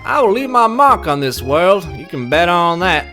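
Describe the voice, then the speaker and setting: Man playing a smug young colonel chef, grandiose and coy, animated pitch, music behind. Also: normal speed, exaggerated voice. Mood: grandly ambitious. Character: smug ambitious young male student chef, folksy southern colonel.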